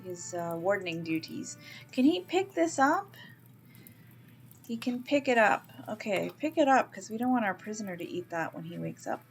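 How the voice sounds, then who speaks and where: A middle-aged woman from Canada, a native English speaker, casually thinking aloud as she plays a game and narrates it. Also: mid-range voice. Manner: chatty, thinks aloud, coos at baby animals. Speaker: let's play host. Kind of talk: casual gameplay commentary.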